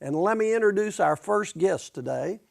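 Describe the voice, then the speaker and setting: A man hosting a university talk show, speaking in a halting way and restarting his sentences. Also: high voice, animated, vocal fry. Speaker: host. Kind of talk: campus TV talk show interview.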